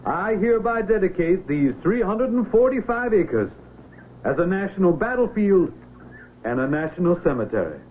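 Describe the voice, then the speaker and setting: An actor playing a senator delivering a ceremonial dedication speech in a grand, orotund manner. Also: male voice, high-pitched, very animated, moderate pace, a little hiss or hum. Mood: solemn. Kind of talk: 1970s radio drama acting. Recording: tinny old radio broadcast.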